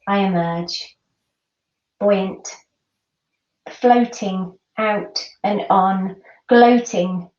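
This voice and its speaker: Echoey, very slow woman reciting poetry. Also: medium pitch, a little mellow. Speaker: poet. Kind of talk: poetry reading. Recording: echoey, distant, dull microphone.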